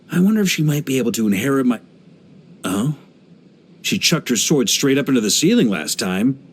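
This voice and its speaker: An actor playing a hearty, easygoing veteran knight grandmaster in a video game, speaking in an expressive, playful, conversational way. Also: male voice, mid-range voice. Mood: amused, exasperated. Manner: jovial, expressive, playful, chuckling asides.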